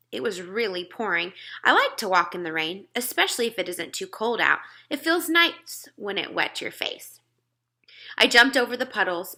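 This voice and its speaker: Bright-voiced woman reading a storybook. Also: mid-range voice. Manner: acts out dialogue. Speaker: read-aloud narrator. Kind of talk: expressive children's storybook narration.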